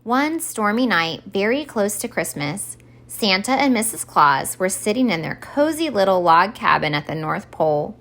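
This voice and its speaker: A woman reading aloud scripted narration from a children's storybook in an expressive story time style. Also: mid-range voice, moderate pace, few pauses.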